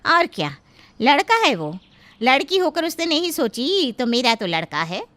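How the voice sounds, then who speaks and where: A woman playing a sharp, smug, callous mother. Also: sits high, sing-song, unhurried, no room sound, heavily acted. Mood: gloating. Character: smug, callous middle-aged mother of a spoiled son. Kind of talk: dramatized Hindi moral story dialogue.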